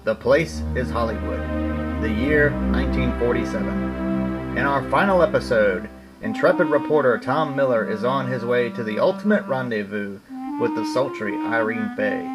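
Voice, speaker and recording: An actor playing a dramatic announcer who narrates a 1940s-style radio serial. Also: male voice, medium pitch, moderate pace, loud music behind the voice, natural voice.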